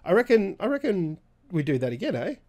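A man co-hosting a weekly gaming show, a little raspy after being sick, chatting casually with lots of restarts. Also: sits high, sing-song, mellow. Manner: halting, many restarts and fillers. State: slightly raspy from recent illness. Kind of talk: casual chat.

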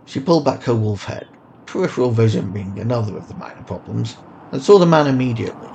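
A man's voice: medium pitch, sing-song, quick pace, vocal fry, mellow, slight background noise. A man reading scripted fiction as a story narrator.

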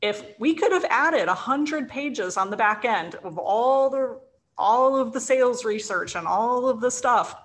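A woman's voice: on the high side, expressive, measured pace. A woman giving advice in a casual conversation as an expert guest, emphatic and often answering her own questions. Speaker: guest, sales author and publisher giving advice.

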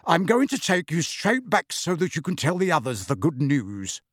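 A kindly middle-aged wizard father, as a male narrator puts him on, brisk and earnest, turning clipped when pushed. His voice moves lively up and down in a dry, close storybook recording. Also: a little high, no echo, pitched above the performer's own voice. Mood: earnest, agitated.

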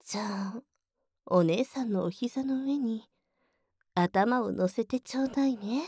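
Slow, seductive devilish big-sister type. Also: female voice, fairly high pitch, darkish tone, slightly rough, no room sound, studio quality. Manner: coy, slow teasing, drifting into whispers. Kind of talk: ASMR roleplay voice drama.

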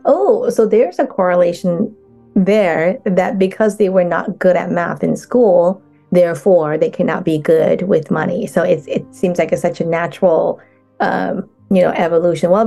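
A woman speaking as a guest coach in a casual interview, talking in halting bursts with many restarts. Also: lowish voice, vocal fry, dark tone, faint music behind.

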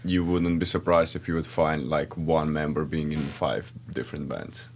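A man from Slovenia, a band member talking in a relaxed, offhand way as a podcast guest. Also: a bass voice, moderate pace. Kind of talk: casual interview chat.

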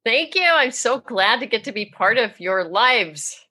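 A woman from Tennessee with no regional accent, an animated, expressive storyteller talking as a podcast guest. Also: a little high, measured pace, slightly rough. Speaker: guest, author and minister. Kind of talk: casual interview talk.

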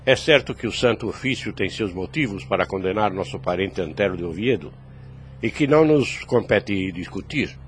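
An actor playing a calm, shrewd priest in his fifties in a radio drama, speaking formally and paternally with a Brazilian accent. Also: on the low side, normal speed, natural voice. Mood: firm, serene. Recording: clear background noise.

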